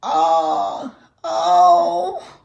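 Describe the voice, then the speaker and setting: A woman voicing a silly, mischievous, excitable little male monster in a picture book, with exaggerated, drawn-out exclamations for small children. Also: extremely high pitch, creaky, muffled recording, voice pushed up, full character voice. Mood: excited, alarmed. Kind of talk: picture-book character read-aloud.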